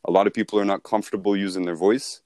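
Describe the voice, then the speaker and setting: Very low-voiced man coaching. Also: steady tone, racing, darkish tone, no room sound, studio quality. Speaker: sales mentor. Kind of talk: informal training talk.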